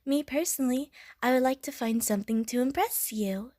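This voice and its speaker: Woman voicing a high-pitched, bubbly water slime girl. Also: a little fast, a little mellow, no room sound, clean studio sound. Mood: earnest, sweet. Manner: childlike, playful, gushing, punctuated with little bloop noises. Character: bubbly, innocent young female water slime creature. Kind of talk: audio roleplay addressed to the listener.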